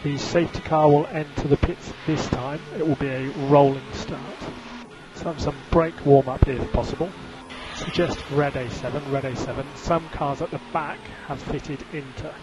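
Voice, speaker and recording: A man's voice: a little high, steady tone, normal speed, over music. A man working as a race engineer, giving clipped, businesslike instructions over team radio and repeating key calls. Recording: very noisy radio link, engine noise. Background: vehicle noise.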